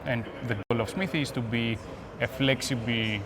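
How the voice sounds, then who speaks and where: A man with a Greek accent giving conversational interview answers as an expert guest, pausing between phrases. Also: medium pitch, crowd noise. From Greece. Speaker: guest, application security expert. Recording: noisy urban ambience behind voice.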